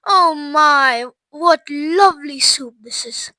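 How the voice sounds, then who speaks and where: A woman's voice: high voice, takes it slowly, pushed and bright, no echo, pitched above the performer's own voice, full character voice. A woman voicing a gleeful, boastful young girl in a storybook, savoring and drawing out her exclamations. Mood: savoring, delighted.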